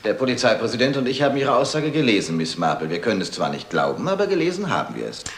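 A man's voice: mid-range voice, huge pitch swings, quick pace, reverberant, a little hiss or hum. An actor playing a sceptical male police inspector in a detective drama, dry, official and condescending. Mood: smug, dry. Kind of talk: German detective film dialogue.

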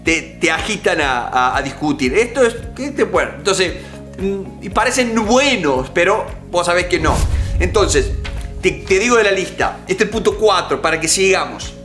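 Emphatic coach in his forties, high bright voice, soft music underneath. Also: moderate pace. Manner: emphatic, animated, gestures with hands. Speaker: negotiation coach. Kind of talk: direct-to-camera coaching talk. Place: home office with bookshelves and art.

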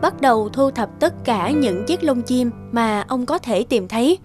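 A woman's voice: on the high side, expressive, measured pace, over music. A woman narrating a children's cartoon tale in a scripted storytelling style.

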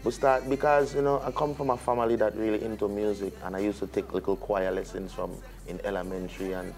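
Deep-voiced Caribbean man, relaxed. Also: quick pace. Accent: Caribbean English, Jamaican-influenced. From US Virgin Islands. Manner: relaxed, hesitant, many fillers. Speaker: reggae artist guest. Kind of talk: casual interview chat. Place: TV studio set.

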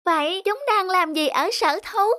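A woman's voice: very high voice, measured pace, sharp tone, dry recording, studio quality, full character voice. A woman voicing a curious small child in a cartoon, full of questions and exclamations. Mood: puzzled, pitying. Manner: inquisitive, exclaiming. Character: curious, wide-eyed small child at the zoo. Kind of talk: animated cartoon dubbing.

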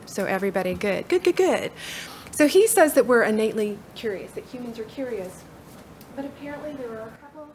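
A middle-aged woman from Appalachia with a soft-spoken Appalachian accent, giving a conference talk from a podium in a hall. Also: fairly high pitch, animated, fairly quick, slight background noise. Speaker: conference speaker. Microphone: podium mic from far camera.

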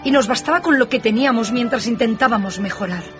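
Sad gentle young woman in a radio play, actress, music under it, bit muffled. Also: on the high side, quick pace, lightly acted. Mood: sad, resigned. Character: sorrowful, gentle young woman leaving her fiancé. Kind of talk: radio drama acting.

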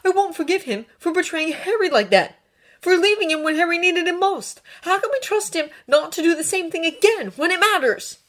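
A woman's voice: very high voice, animated, a little fast, a bit bright, voice pushed up. A woman voicing a sarcastic, stubbornly loyal teenage boy wizard with a hot temper, in emphatic, defiant audiobook dialogue. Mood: angry, defensive.

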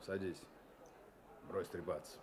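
An actor playing a gruff, curt, threatening police sergeant in a radio play. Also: male voice, low voice, steady tone, takes it slowly. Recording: background noise under the voice.

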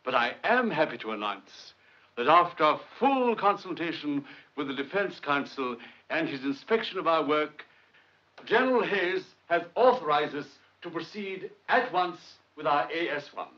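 An actor playing an earnest scientific leader in a 1950s film, speaking in formal, measured oratory. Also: male voice, on the high side, lively intonation, bright, forceful tone. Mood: solemn, proud. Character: earnest scientific leader addressing his team. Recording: muffled old film soundtrack.

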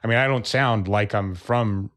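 A man speaking as a podcast guest, a relaxed storyteller in casual interview chat. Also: fairly low pitch, moderate pace. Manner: relaxed storyteller, acts out quoted people. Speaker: percussionist and educator, podcast guest.

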